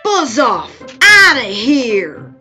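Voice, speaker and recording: A woman's voice: fairly high pitch, sing-song, drawn-out words, soft background music, full character voice. Woman as a fierce bellowing storybook ram. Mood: furious. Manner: loud, bellowing, clipped commands.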